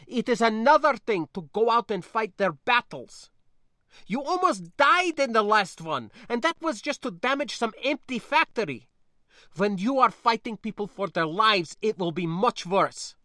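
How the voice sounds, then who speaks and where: A man's voice: sits high, expressive, measured pace, sharp tone, dry recording, studio quality, pitched above the performer's own voice, full character voice. A man voicing a proud, fiery young woman, scornful and anxious, with formal, clipped phrasing in an audiobook story. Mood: scornful, heated.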